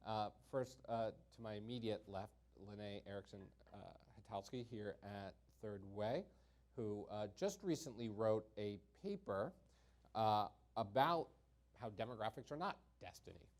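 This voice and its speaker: A man's voice: lowish voice, lively intonation. A man moderating a panel discussion, introducing the guests with frequent ums and uhs. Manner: halting, many ums and uhs.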